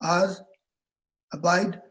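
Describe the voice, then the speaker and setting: An older man giving a meditation talk, speaking slowly with many pauses, fillers and restarts, over a home video call. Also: high voice, fairly even intonation, a bit bright. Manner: halting, with frequent fillers and restarts. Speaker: meditation teacher. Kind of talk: dharma talk.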